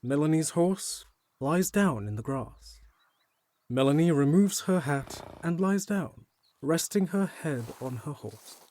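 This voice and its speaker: A male narrator of an audio drama, reading scripted scene descriptions. His voice sits a little higher than most men's. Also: lively intonation, slow, dark tone.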